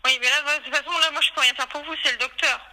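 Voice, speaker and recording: A woman's voice: sits high, fairly even intonation, fast talker, bright, forceful tone. A woman working as a vet clinic receptionist, answering a phone call in a matter-of-fact, procedural way. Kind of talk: customer service phone call. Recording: noisy phone call with clicks.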